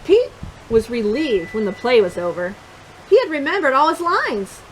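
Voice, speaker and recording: A woman reading a picture book aloud for young children, expressive and encouraging, pausing to put questions to her listeners. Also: sits high, slight background noise, rough recording. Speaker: children's storytime reader.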